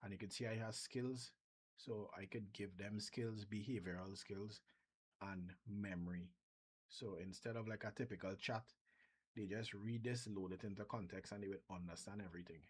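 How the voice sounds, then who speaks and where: A man from Trinidad speaks with his Trinidadian accent in a slightly low, breathy-edged voice. He talks casually through a software demo at his desk, thinking aloud and restarting his sentences as he goes. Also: steady tone, normal speed. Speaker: software developer vlogger. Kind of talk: casual screen-share demo.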